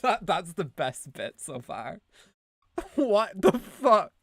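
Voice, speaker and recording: A woman's voice: lowish voice, very animated, takes it slowly, slightly rough, no echo. A woman from Ireland with a light Irish accent blended with American, chatting casually on a live stream, giggling, rambling, swearing and correcting herself. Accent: light Irish, mixed with American.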